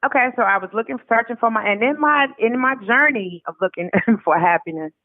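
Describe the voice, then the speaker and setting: A woman's voice: fairly high pitch, a little fast, no echo. An American woman telling personal stories casually as an interview guest, colloquial and acting out quoted dialogue. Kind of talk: casual conversational storytelling. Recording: tinny narrow phone line.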